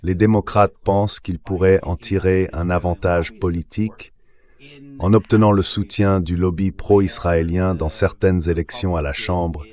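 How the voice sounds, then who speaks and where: A man speaks in a very low-pitched voice. It is a synthetic voice-over translating an analyst's political commentary. The delivery is measured and explanatory. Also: fairly even intonation, a little mellow.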